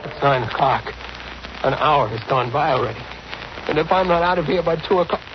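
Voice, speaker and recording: Actor as terrified haunted man, high-pitched, halting, melodramatic, old muffled radio thriller. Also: background noise, natural voice. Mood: anxious dread. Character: frightened, haunted man, nervous and desperate. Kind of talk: 1940s radio acting.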